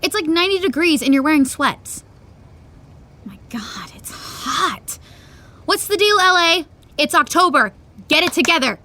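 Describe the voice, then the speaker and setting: A breezy young female best friend with a high, bright voice. She is excitable and slangy, swooping up and down as she playfully gripes, in naturalistic audio drama style. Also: unhurried, long pauses, heavily acted. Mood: complaining, playful. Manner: animated, slangy, playfully complaining.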